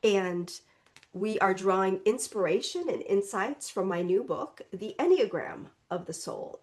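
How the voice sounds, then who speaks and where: A woman reverend gives a slow, contemplative spiritual talk in a congested, stuffy voice. She speaks gently and reflectively, and often adds a soft "right?" as she explains. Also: medium pitch. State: congested, recovering from illness.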